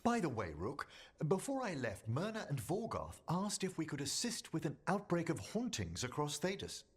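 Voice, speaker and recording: A man's voice: a little high, huge pitch swings, moderate pace, husky, full character voice. A man voicing a courteous, scholarly older necromancer professor in a video game, speaking politely and formally. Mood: earnest.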